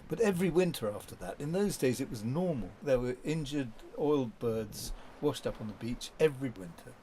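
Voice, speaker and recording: Older guy rambling thru old stories, mid-pitched voice, faint hum behind. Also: animated, fairly quick, warm tone. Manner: rambling, anecdotal storytelling. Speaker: documentary subject. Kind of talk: reminiscing interview.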